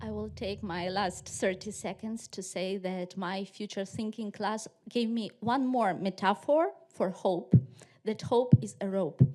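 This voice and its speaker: A woman's voice: mid-range voice, dark tone. A woman panelist closing her conference talk in a thoughtful way, with small restarts.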